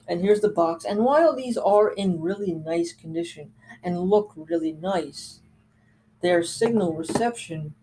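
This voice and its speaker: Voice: mid-range voice, mellow, a little hiss or hum, rough recording. A host casually showing and reviewing items from a collection, talking informally and with enthusiasm. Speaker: host of a gadget collection video. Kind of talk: casual show-and-tell product review.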